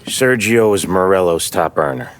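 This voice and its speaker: A man's voice: low-pitched, lively intonation, moderate pace, slight background noise. An actor playing a loyal, matter-of-fact mafia henchman in a game cutscene. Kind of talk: cinematic game acting.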